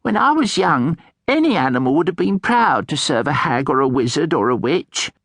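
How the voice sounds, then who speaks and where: A man voicing a fussy, excitable old hag of a witch with a shrill, exclaiming voice. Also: moderate pace, no room sound, clean studio sound, exaggerated voice. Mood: lamenting.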